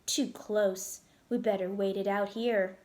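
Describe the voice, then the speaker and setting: A woman's voice: medium pitch, steady tone, measured pace, darkish tone, lightly acted. A woman voicing a cautious, firm father who leads a climbing school, instructing in a children's storybook. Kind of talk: children's storybook read-aloud.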